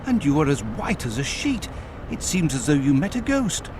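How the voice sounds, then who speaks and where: A man playing an excitable, loyal and proper Victorian gentleman in a video game, formal and indignant in his delivery. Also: on the high side, moderate pace, noisy background. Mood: startled concern. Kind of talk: video game dramatic acting.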